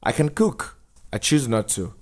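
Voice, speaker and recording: A man's voice: medium pitch, big pitch swings, unhurried, husky, voice pushed up. A man playing a remorseful former newspaper editor in a drama, speaking in a solemn, confessional way. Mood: light teasing.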